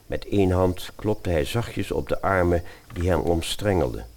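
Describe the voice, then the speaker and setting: A man narrating a scripted story in an expressive storytelling style. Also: deep voice, normal speed, gravelly.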